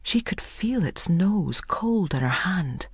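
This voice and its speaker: A woman narrates a story in a husky, breathy voice, often dropping to a near whisper. Her pitch is fairly low yet moves expressively, and the recording is close and dry. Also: expressive, slow, no room sound. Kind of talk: scripted audiobook narration.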